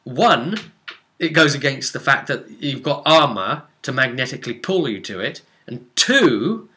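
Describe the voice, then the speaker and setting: A man with a bright, forceful voice tells the story of a tabletop game session as its game master. His delivery is excitable, and he hammers points home by repeating short phrases. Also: medium pitch, measured pace. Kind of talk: animated session recap storytelling.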